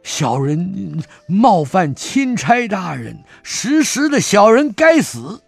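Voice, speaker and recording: A captured swordsman turned penitent, acted by a man in a storytelling piece. His voice rises high and loud, full of self-abasement. He grovels and apologizes. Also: very animated, slow pace, creaky, slightly rough, no room sound, soft background music, exaggerated voice. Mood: abject. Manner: raised, pleading, self-abasing. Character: humbled male assassin, young-ish, deferential.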